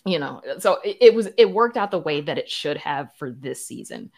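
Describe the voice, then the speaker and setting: A woman with a low-pitched voice talks as a guest in a casual conversation. She is frank and self-deprecating about herself, and peppers her sentences with "you know.". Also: sing-song, normal speed, vocal fry, darkish tone. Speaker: podcast guest, writer and producer.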